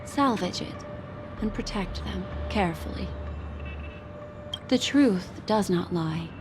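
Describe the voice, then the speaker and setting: Composed young woman detective over loud music. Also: medium pitch, lively intonation. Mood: solemn, reflective. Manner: measured, deliberate, formal deduction. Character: calm young woman detective-like memory keeper reasoning aloud. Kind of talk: video game English dub acting.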